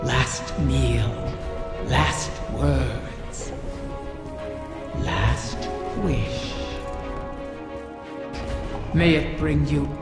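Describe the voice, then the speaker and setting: A man voicing an ancient, sly, wish-granting dragon in a video game, slow, savoring and theatrical, with a cavernous echo on the voice. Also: medium pitch, gravelly. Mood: ominous, savoring. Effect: cavernous reverb on the voice. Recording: long cavernous reverb under loud music.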